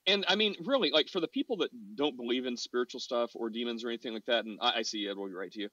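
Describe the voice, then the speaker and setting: A man's voice: medium pitch, rapid-fire, sharp tone, no room sound. A man hosting a casual podcast discussion, asking long rambling questions in a teasing manner. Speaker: podcast host. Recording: dull dry lo-fi mic.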